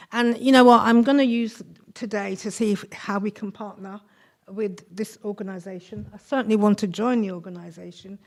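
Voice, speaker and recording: A woman from Nigeria introduces herself at a seminar, shy and hesitant, with many pauses and ums. She sounds tired after a night shift, and her voice sits at an average pitch. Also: a little mellow. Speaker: nurse and community association secretary. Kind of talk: speaking in a seminar introduction round.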